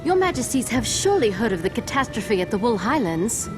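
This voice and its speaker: A woman voicing a dignified, earnest queen in a fantasy game cutscene, formal, courteous and deliberate in her speech. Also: a little high, very animated, music in the background. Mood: grave, urgent. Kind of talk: scripted video game cutscene acting.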